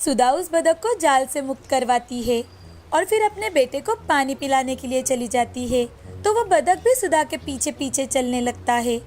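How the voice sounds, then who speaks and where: A woman's voice: sits high, expressive, normal speed, a little mellow. A woman narrating a scripted moral story for children as a storyteller.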